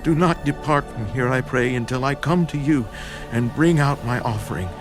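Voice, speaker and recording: A man's voice: mid-range voice, moderate pace, over music, close to an everyday voice. A man playing a humble, doubting young Israelite farmer in a dramatized scripture audio drama, earnest and pleading in formal phrasing.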